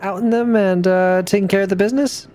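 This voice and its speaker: A man with a fairly high voice plays a tabletop role-playing game. He improvises his part as he speaks. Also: fairly even intonation, fast, darkish tone.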